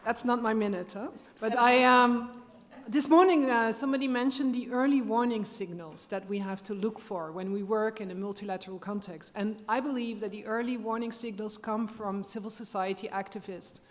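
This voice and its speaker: A woman member of parliament asking a question from the floor, rallying and appreciative. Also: on the high side. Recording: reverberant conference hall.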